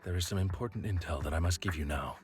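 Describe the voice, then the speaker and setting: Man playing a very deep, formal protector. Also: quick pace, faint music behind, natural voice. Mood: formal, reserved. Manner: formal, restrained, courteous. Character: formal, dutiful, reserved male protector, stiffly polite. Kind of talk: video game voice acting.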